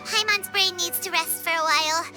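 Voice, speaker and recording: A woman voicing a tiny, cute, childlike fairy companion in a video game, with a squeaky voice and a naive, excitable manner. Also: normal speed, loud music behind the voice. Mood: puzzled. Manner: speaks of herself in third person, hesitant, cutesy.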